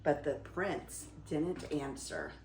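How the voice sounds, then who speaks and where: An older woman reading a fairy tale aloud as a grandmother would, with theatrical, expressive emphasis. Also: sits low, slow pace, echoey room, a little hiss or hum. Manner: theatrical, dramatic storytelling with emphasis.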